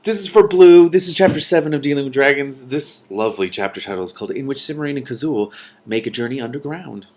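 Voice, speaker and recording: A man reading a novel aloud as host, with animated, casual reactions between passages. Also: medium pitch, quick pace.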